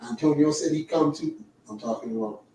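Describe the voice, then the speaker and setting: A man's voice: a little high, warm tone, slight background noise. A man who talks in loose, teasing asides, ribbing his company and drifting between topics. He sits back from the microphone, so his voice sounds distant. The room adds a heavy echo. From Caribbean. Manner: teasing, talkative, quick asides. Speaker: livestream host. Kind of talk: casual chat. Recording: echoey room, away from mic.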